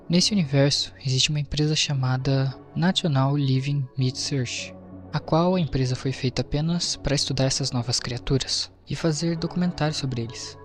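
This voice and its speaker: A man with a Brazilian accent narrating a scripted explainer in an informal storytelling way, with casual asides. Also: medium pitch. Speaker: video narrator. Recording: music behind the voice. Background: background music.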